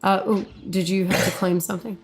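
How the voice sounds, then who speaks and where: A woman's voice: medium pitch, level tone, fairly quick, a little hiss or hum. A woman co-hosting a live game stream, chatting casually and prompting her co-host with questions. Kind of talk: casual live gameplay chat.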